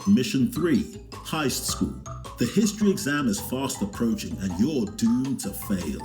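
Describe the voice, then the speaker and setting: A man's voice: medium pitch, animated, measured pace, a little mellow, echoey room, soft background music, lightly acted. A man voicing a showy, theatrical game announcer for a virtual school in an audio drama. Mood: showy, gleeful. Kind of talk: video game mission announcements.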